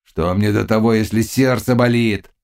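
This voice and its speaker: A man as a gravelly, tormented Cossack chieftain. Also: on the low side, steady tone, moderate pace, a little mellow. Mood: lovesick, desperate. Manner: brooding mutters bursting into anguished cries. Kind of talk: audiobook character dialogue.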